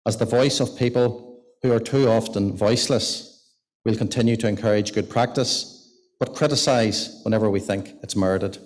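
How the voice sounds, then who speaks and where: A man's voice: lowish voice, normal speed. A man delivering a prepared, formal speech from a lectern in a parliament gallery and introducing the next speaker. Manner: formal, read from notes at a lectern. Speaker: event host introducing speakers. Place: long gallery of a parliament building. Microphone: lectern microphone.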